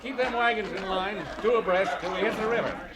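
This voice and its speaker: An actor playing a hearty, plain farmer who leads a wagon train in an old-time radio western. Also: male voice, high-pitched, brisk, close to an everyday voice. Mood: hearty, busy. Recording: old radio drama, busy crowd effects behind.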